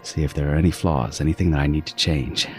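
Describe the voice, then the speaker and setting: An actor playing a meticulous, self-satisfied serial killer in a horror audio drama, delivering a savouring, theatrical monologue. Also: male voice, a bass voice, steady tone, fast talker, a little mellow, faint music behind. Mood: restrained, self-soothing. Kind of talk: dramatic first-person horror audio fiction.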